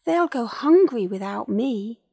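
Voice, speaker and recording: A woman's voice: fairly high pitch, expressive, measured pace, creaky, mellow, husky, voice pushed up, exaggerated voice. A woman voicing a small young male dinosaur in a children's storybook, plucky and kind but easily frightened, speaking earnestly. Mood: anxious, brave. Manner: wailing when afraid, brave and earnest.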